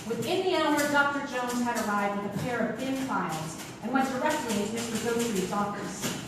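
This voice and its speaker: A woman's voice: on the high side, brisk, close to an everyday voice. An actress playing a timid, earnest 1940s woman accountant in a radio-style stage drama, plain-spoken as she tells her story. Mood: earnest, uneasy. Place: theatre stage, live show. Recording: echoey theatre stage, distant mic, noisy.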